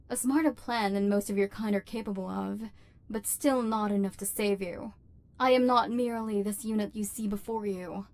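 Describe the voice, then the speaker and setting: A woman's voice: mid-range voice, close to an everyday voice. A woman voicing an imperious robot overlord who despises humans, speaking in formal, machine-like phrases in an ASMR roleplay. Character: haughty, human-hating female robot overlord, secretly lonely. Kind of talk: ASMR roleplay, dramatic villain monologue.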